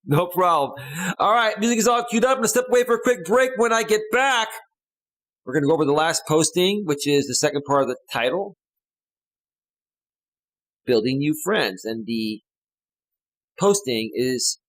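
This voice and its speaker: A man's voice: fairly high pitch, lively intonation, normal speed, long pauses, a bit bright, poor audio. A man hosting a casual live stream as a life coach, joking loosely with his chat viewers. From United States.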